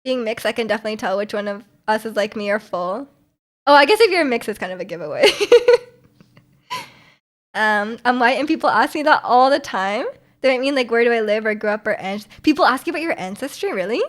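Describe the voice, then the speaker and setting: A woman from Canada streaming, chatting casually with viewers and reading their messages aloud, full of "like" fillers and quick laughs. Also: a little high, lively intonation, a bit bright. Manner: laughs often while talking.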